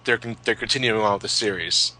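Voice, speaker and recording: A man in his twenties chatting casually as a podcast commentator, reminiscing in a halting, sweary way and often repeating his words. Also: on the low side, sharp tone. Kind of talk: casual retrospective chat, reminiscing.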